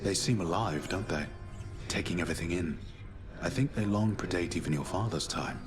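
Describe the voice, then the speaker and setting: An actor playing a calm, noble warrior mentor in a video game, measured, courtly and gentle. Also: male voice, sits low, over music, natural voice. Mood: reflective. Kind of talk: video game character acting.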